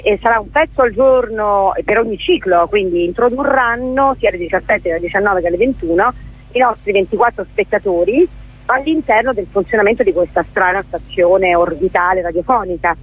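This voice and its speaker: A woman's voice: medium pitch, brisk, slightly rough. A woman guest speaks over a thin, band-limited telephone connection. She explains her work off the cuff in a radio interview, often backing up to correct herself. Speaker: theatre director, interview guest. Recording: narrow, tinny telephone line.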